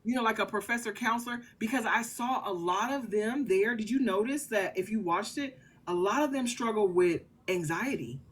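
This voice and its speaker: A woman's voice: medium pitch, moderate pace, hardly any pauses, husky. A woman in her thirties, a guest in an interview, talking at length in an earnest, rambling way. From Louisiana family. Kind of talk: casual interview chat.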